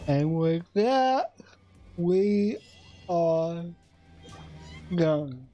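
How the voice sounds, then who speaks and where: A woman chatting casually on a game livestream in a halting, drawn-out way that often trails off. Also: lowish voice, animated, warm tone, soft background music. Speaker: game streamer.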